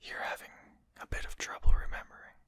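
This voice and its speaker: A performer voicing a courteous, composed vampire lord in a breathy whisper, speaking formally and politely for an ASMR roleplay. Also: dry recording, natural voice. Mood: calm, courteous menace. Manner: polite, formal, unhurried hushed speech.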